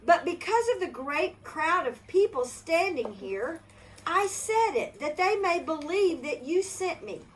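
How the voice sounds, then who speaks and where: Slow, high-voiced older woman reading aloud. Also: sing-song, a little hiss or hum. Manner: gentle, slow storybook reading that asks the kids questions. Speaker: children's storyteller. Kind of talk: reading a Bible story to children.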